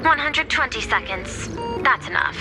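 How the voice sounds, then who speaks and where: A woman's voice: on the high side, wildly swooping pitch, moderate pace, low-quality recording. A woman voicing a composed, serious tactical partner in a video game, speaking in a terse, matter-of-fact way. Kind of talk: scripted video game voice acting. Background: loud game music.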